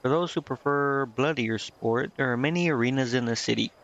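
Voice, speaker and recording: A man's voice: mid-range voice, a little fast, a bit bright, muffled recording. A man streaming a game, reading its dialogue aloud with casual commentary and stumbling now and then before correcting himself. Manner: stumbles over read text and corrects himself. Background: game music.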